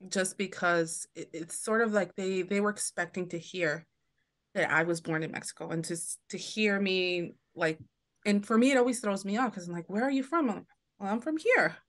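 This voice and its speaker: A woman's voice: medium pitch, moderate pace. A woman guest on a podcast, talking at length in a casual, storytelling way. She restarts her sentences often and slips into the voices of the people she quotes. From United States. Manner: chatty, many restarts, acts out quoted voices. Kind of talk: casual interview chat.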